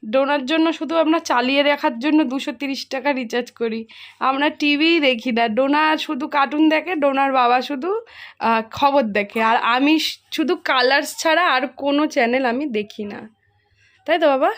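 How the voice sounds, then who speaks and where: A woman's voice: sits high, moderate pace, a bit bright. A young woman with a Bengali accent narrating a casual home vlog, chatting to viewers and family in a playful, teasing way. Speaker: family vlogger, mother.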